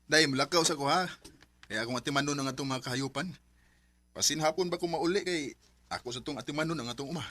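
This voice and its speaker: Casting: affectionate young farmer, expectant father, Cebuano accent, bright husky voice in radio drama. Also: a little high, fast, soft background music. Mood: caring. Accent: Cebuano Filipino. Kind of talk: Visayan radio drama acting.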